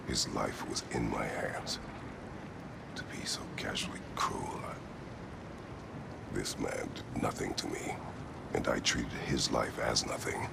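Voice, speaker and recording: A man voicing a grave, terse middle-aged warrior haunted by past cruelty, with a deep, gravelly, breathy rumble, in a video game. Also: full character voice. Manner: terse, solemn, measured. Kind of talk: dramatic video game voice acting.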